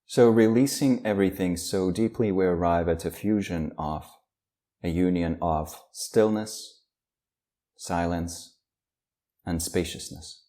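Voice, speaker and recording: Male meditation teacher, low dark voice, unhurried guided practice with long pauses. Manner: slow, calm, with long pauses.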